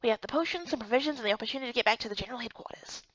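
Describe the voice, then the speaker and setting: A woman's voice: a little high, animated, rapid-fire, no room sound, lo-fi sound. Woman doing a brusque, cheeky wolf boy. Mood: impatient. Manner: casual, brusque, sarcastic. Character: cheeky, impatient young wolf boy companion.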